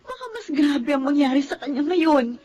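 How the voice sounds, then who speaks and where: A woman's voice: high-pitched, lively intonation, normal speed, dark tone. An actress playing a worried older woman in a radio drama, speaking in a stern, fretful way.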